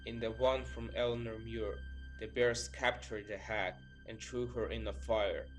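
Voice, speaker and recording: A man's voice: on the low side, flat delivery, a little slow. A man reading scripted, documentary-style narration as a storyteller. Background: background music.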